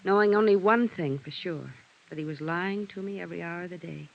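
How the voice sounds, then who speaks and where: An actress playing a weary, melancholy widow in a vintage radio drama, speaking in a hushed, wistful way. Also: female voice, lowish voice, fairly quick, vocal fry. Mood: wistful, bitter. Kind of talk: 1950s radio drama acting. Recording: dull, noisy old radio recording.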